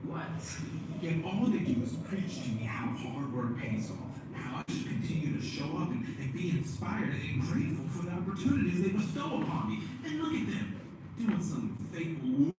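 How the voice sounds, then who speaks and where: A man's voice: fairly high pitch, big pitch swings, moderate pace, pitched above the performer's own voice. A man voicing an indignant young male student who stammers and bursts out breathlessly in an audio play. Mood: bitter, indignant. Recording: echoey, distant, noisy livestream playback.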